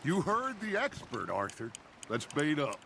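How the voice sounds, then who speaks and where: An actor playing a genial, self-assured middle-aged outlaw gang leader in a video game. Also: male voice, a little high, huge pitch swings, a little slow, creaky, a bit bright, slight background noise, heavily acted. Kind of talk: video game cutscene acting.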